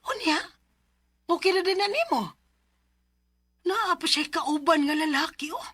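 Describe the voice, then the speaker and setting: A woman's voice: extremely high pitch, lively intonation, a little slow, a bit bright, heavily acted. An actress with a Cebuano accent playing a nosy, gossiping female neighbor in a 1990s radio drama, with a chatty, insinuating manner. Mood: gossipy.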